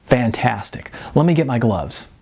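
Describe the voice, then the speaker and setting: A man's voice: medium pitch, animated, normal speed, a little mellow, slightly rough. A man voicing an earnest young film star in an audiobook, courteous, casual and a little hesitant. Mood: eager. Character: earnest, polite young male film star, eager amateur.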